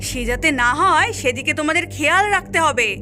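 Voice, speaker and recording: A woman's voice: high-pitched, animated, brisk. A woman voicing a scheming cartoon character who coaxes and instructs in a sly manner. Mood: coaxing, instructing. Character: scheming woman coaxing gullible youngsters into mischief. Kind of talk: cartoon voice acting. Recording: music behind the voice.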